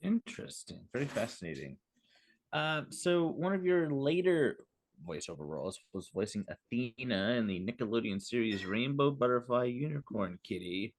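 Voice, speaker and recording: A man's voice: mid-range voice, animated, normal speed, no echo. A man co-hosting a casual interview, asking questions and offering short comments. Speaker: podcast co-host.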